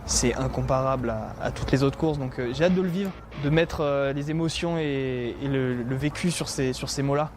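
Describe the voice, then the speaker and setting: A man's voice: medium pitch, fairly quick, few pauses. A man in his twenties with a French accent, giving interview answers. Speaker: professional trail runner. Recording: music behind.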